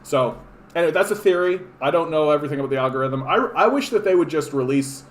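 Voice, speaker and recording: A man's voice: fairly high pitch, fast talker, echoey room, a little hiss or hum. A man from Canada hosting a casual political livestream, rambling with lots of fillers and restarts, sarcastic as he reads chat comments. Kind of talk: casual live-stream chat reading comments. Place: home room.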